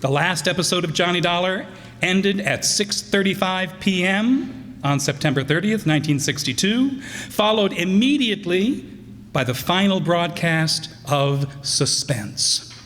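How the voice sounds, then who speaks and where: A man's voice: high-pitched, animated, measured pace, fairly bright tone. A man giving an informal, chatty introduction as host of a live radio players' show, partly reading from notes. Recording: echoey hall, live stage.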